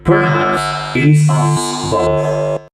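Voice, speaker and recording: Very deep, creaky doom-laden villainess. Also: female voice, big pitch swings, drawn-out words, heavily acted. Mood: ominous. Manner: ominous, pronouncing doom. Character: grim, fateful female animatronic villain. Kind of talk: video game villain lines. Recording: echoey, loud guitar music behind.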